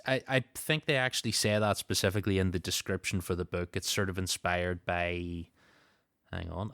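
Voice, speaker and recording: A man's voice: low voice, fairly bright tone, dry recording, clean studio sound. A man hosting a podcast, moving between show announcements and casual chat. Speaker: podcast host.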